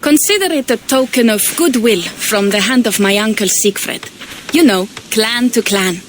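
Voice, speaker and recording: A woman's voice: on the high side, normal speed, slight background noise, close to an everyday voice. An actress playing a proud Viking woman envoy, earnest and persuasive.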